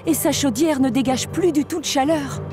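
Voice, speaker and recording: A woman's voice: sits high, normal speed. A woman reading scripted narration for a children's story. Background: music behind the voice.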